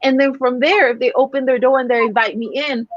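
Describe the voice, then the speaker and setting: A woman's voice: sits high, steady tone, quick pace, no room sound. Earnest woman from Mexico, dull sound. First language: Spanish. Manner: earnest, storytelling, frequent fillers. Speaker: sales coach guest. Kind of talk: casual interview talk.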